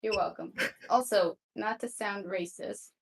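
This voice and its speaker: A woman's voice: mid-range voice, a little slow, creaky, slight background noise. A woman playing a tabletop roleplaying game, chatting casually and a little hesitantly in character. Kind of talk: casual improvised roleplay chat.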